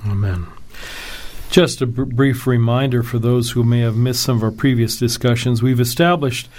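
Guy hosting a bible talk show, calm earnest voice, medium pitch. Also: normal speed. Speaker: radio Bible program host. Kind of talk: scripted narration then interview.

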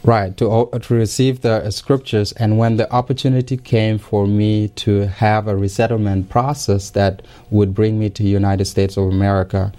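A middle-aged man, not a native English speaker, giving careful and hesitant interview answers with many pauses and restarts. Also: lowish voice, little pitch movement, mellow. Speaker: interview guest.

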